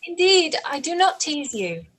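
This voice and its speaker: A woman playing an earnest, opinionated young gentlewoman in a period radio play, speaking in a measured, articulate way, on a home recording. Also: high-pitched, very animated, exaggerated voice.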